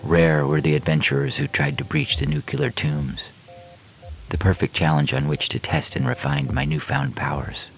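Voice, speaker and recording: A man reading a scripted science fiction story in the first person, in a measured, literary storytelling manner. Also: low voice, very animated, gravelly, poor audio. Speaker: fiction podcast narrator. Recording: music bed under the reading. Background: music.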